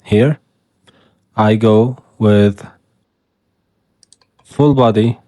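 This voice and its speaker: A man whose first language is Arabic talks slowly through a screen-recorded tutorial. His voice is mellow and soft-edged. He explains one step at a time while he clicks. The sound is dry and close, with no room around it. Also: fairly low pitch, no room sound. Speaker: tutorial host.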